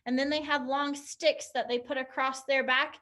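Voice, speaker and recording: A woman's voice: high voice, little pitch movement, moderate pace, bright, forceful tone. A young woman teacher leading a pantomime activity online, upbeat and encouraging as she explains each step.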